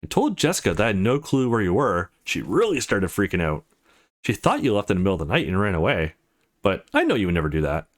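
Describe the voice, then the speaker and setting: A man's voice: fairly low pitch, wildly swooping pitch, fast. A man voicing a brash, foul-mouthed, teasing young woman who is a loyal friend in a visual novel game. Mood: exasperated.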